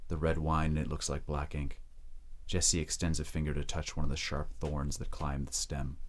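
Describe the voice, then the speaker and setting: A man's voice: very deep voice, level tone, moderate pace. A man narrating an audio drama from a script.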